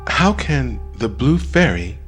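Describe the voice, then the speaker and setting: Man playing an eager high-pitched robot boy. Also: big pitch swings, takes it slowly, fairly bright tone, faint music behind. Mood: hesitant, pleading. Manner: earnest, urgent, breathless wonder. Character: eager young robot boy, awed and pleading.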